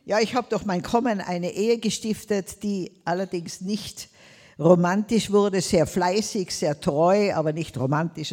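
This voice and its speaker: A woman's voice: lowish voice, a little mellow, long reverb tail. An older woman from Tyrol with a Tyrolean accent, preaching a sermon emphatically, drawing out words and calling out Amen and Hallelujah. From Tyrol, Austria.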